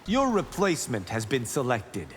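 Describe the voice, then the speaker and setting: A man's voice: medium pitch, huge pitch swings, moderate pace, big-room sound, a little hiss or hum. A man playing a proud, boastful young Shaolin warrior monk in a fighting game. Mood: taunting. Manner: boastful, teasing. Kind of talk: video game fight banter.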